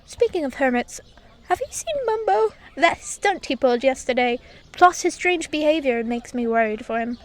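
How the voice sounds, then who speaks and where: A woman's voice: sits high, lively intonation, moderate pace, a little hiss or hum. A woman voicing a friendly, anxious bee-man leader in an audiobook, with hesitant, eager questioning. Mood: worried, probing. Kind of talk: audiobook character acting.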